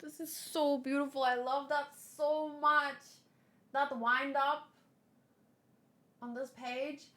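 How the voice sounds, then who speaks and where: A woman doing an emotionally expressive read-along reaction, gushing and gasping as she goes. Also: sits high, takes it slowly. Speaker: manga reader reacting on video.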